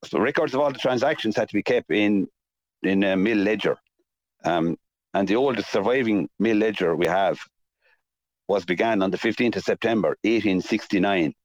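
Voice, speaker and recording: Low-voiced Irish man, slightly muffled. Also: normal speed, dry recording. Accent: Irish, south-east. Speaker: mill restorer, interview guest. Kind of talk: unscripted interview explanation.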